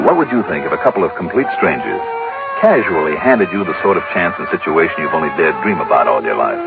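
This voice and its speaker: An actor playing a wisecracking insurance investigator who narrates, in 1950s radio drama style on an old broadcast. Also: male voice, low voice, phone-quality sound. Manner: wisecracking, easygoing. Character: wry hard-boiled male insurance investigator. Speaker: narrator and lead.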